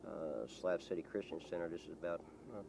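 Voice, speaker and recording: A man chatting casually in an interview, telling local stories in a folksy, rambling, halting way. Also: low-pitched, gravelly, background noise. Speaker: local resident being interviewed.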